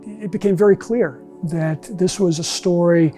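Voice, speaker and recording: A middle-aged man giving a measured personal recollection in a documentary interview. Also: high voice, mellow, faint music behind. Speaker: bereaved brother interviewed. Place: large meeting room with empty chairs.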